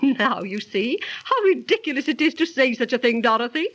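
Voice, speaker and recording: A patient storytelling aunt. Her fairly high voice rises and falls widely as she explains emphatically in the manner of 1930s radio drama. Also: very animated, a little fast, fairly bright tone, full character voice. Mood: explanatory, earnest.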